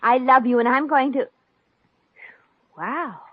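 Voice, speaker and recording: A woman's voice: high-pitched, takes it slowly, dry recording. An actress playing a brassy, good-hearted, wisecracking young woman in 1950s radio comedy style, breezy and slangy. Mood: amused, astonished. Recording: dull old-time radio recording.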